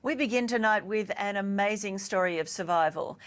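A woman news host introducing a story in a quick, polished way. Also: mid-range voice. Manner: quick, polished anchor delivery.

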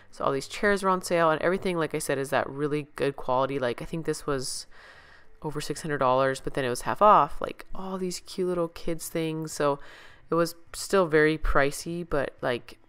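Low-pitched, smooth-voiced chatty woman. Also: fairly bright tone. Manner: informal, enthusiastic. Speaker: vlogger, parent. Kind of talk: casual chatty shopping haul voice-over.

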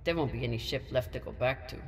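An actor playing a proud, gruff spaceship crewman in a sci-fi video game. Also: medium pitch, quick pace, big-room sound, slight background noise, lightly acted. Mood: wry.

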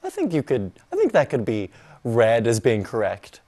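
A man's voice: mid-range voice, huge pitch swings, moderate pace, warm tone. A man in his twenties giving casual interview answers. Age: 20s. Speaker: street interviewee. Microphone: clip-on lapel mic.

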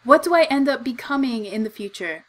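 A woman's voice: high voice, lively intonation, a little fast. A young woman playing a game, giggly and bemused, pressing questions into a desk microphone. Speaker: gamer. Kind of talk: let's play commentary.

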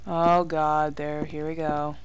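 A woman's voice: sits low, flat delivery, takes it slowly, a little hiss or hum. A woman giving casual horror game commentary, exclaiming, swearing and muttering asides to herself. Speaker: let's play gamer.

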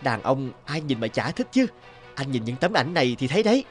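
Male actor for composed, teasing detective in an animated drama, measured delivery. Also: mid-range voice, faint music behind, close to an everyday voice. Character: cool, teasing male private detective, protective. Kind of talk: cartoon dialogue.

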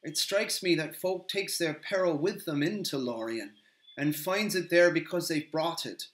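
A man's voice: a little high, unhurried, pitched above the performer's own voice, lightly acted. A man voicing a humble, loyal male hobbit gardener who talks in a rustic, rambling way. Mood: earnest. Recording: roomy echo.

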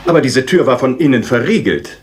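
An actor playing a detective's earnest, puzzled, plain-spoken companion in a radio crime drama. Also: male voice, mid-range voice, animated, fairly quick, lightly acted. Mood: doubtful.

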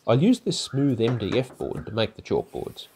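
Calm older man, lively instructional narration. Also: mid-range voice, normal speed, husky. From Australia. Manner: calm, methodical step-by-step explaining. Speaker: woodworking channel host. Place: home garage workshop.

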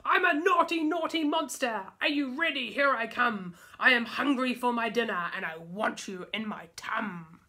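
A woman voicing a boisterous, hungry, naughty male monster for a storybook, declaiming threats in a loud singsong. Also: on the high side, moderate pace, hardly any pauses. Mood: gleefully menacing.

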